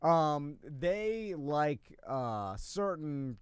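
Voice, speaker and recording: A man with a fairly high voice chats as co-host of a talk show. His manner is easygoing and a bit hesitant, dropping in short interjections. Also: very animated, drawn-out words, clean tone, no echo, clean studio sound. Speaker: podcast and radio show host. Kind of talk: casual chat.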